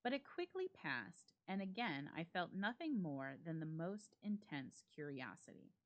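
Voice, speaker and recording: A woman narrates a classic ghost story in a somewhat low voice with wide, lively swings of pitch. Her delivery is theatrical and suspenseful, leaning deliberately on key words. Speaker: library staff storyteller.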